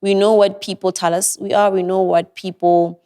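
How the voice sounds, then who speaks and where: A woman's voice: medium pitch, level tone, moderate pace, dark tone. A young woman with an American-sounding accent, giving earnest, reflective advice as a guest on a podcast interview.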